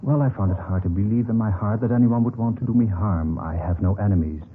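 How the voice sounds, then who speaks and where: An actor playing a gentle, trusting old man in a radio drama, speaking politely and mildly. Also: fairly low pitch, fast, natural voice. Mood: earnest disbelief. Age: older. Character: gentle, trusting old German man who loves roses. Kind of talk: 1950s radio drama acting. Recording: tinny, noisy vintage radio recording.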